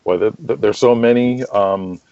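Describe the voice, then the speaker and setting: A man being interviewed as a guest, chatting casually in an enthusiastic, rambling, halting way. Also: on the low side, gravelly, mellow, dry recording, highs cut off. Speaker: interview guest, wrestling promoter and booker.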